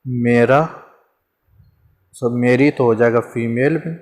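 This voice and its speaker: Male teacher, mid pitch, chatty lesson delivery with rhetorical questions and lots of repetition. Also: steady tone, slow pace, a little mellow. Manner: conversational, repeats examples, asks and answers himself. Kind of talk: informal grammar lesson.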